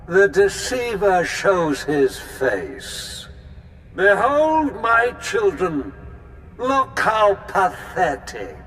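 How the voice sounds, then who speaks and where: A man's voice: high voice, a bit bright, a little hiss or hum, exaggerated voice. An actor playing a fiery, pompous male cult leader in a video game, preaching grandly. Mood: scornful, then rousing. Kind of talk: video game speech acting.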